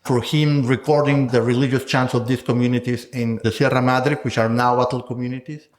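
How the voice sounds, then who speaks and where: A man speaking as a guest scholar in an interview, measured and thoughtful, often ending points with a questioning "no?". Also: mid-range voice, flat delivery, roomy sound. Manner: thoughtful, measured, tags statements with "no?". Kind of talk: conversational interview.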